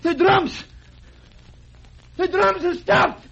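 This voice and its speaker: A man's voice: very high-pitched, steady tone, very slow, gravelly, a bit bright, exaggerated voice. An actor playing a panicked male prisoner facing execution, in old radio drama. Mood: terrified. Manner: frantic, cries out in terror. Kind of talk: 1930s radio acting. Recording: muffled old radio recording.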